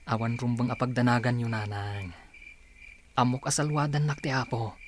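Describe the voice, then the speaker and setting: A man playing a dutiful grown son in an audio drama, earnest, respectful and calming. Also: mid-range voice, normal speed, slight background noise, close to an everyday voice. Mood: reassuring. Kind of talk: Ilocano audio drama acting.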